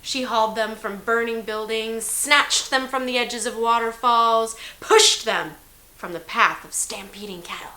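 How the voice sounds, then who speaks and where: Female story narrator, dramatic delivery, bright tone, echoey hissy room. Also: on the high side, a little slow. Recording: roomy echo, hiss in background.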